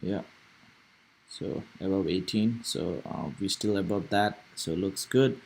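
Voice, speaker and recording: A man's voice: low-pitched, slow, creaky, husky, rough recording. A young man from Nepal, giving casual live commentary on stock charts and rambling aloud with many fillers and restarts. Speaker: stock trading streamer.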